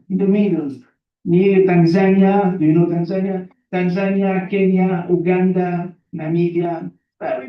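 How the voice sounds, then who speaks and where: A man from Belgium, a native French speaker with a French accent, gives a motivational talk in simple English, repeating phrases for emphasis and putting questions to listeners. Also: sits high. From Belgium, born in Burundi. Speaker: seminar guest speaker. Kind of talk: motivational talk to an audience, telling own life story. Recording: very echoey room, distant mic, muffled.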